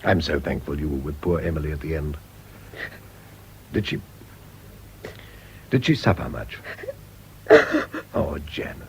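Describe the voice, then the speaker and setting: An actor playing a wealthy, well-spoken English gentleman, polite and evasive, in a radio drama. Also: a bass voice, measured pace, creaky, long pauses, heavily acted. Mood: consoling. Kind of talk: BBC radio drama acting. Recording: noisy radio drama recording.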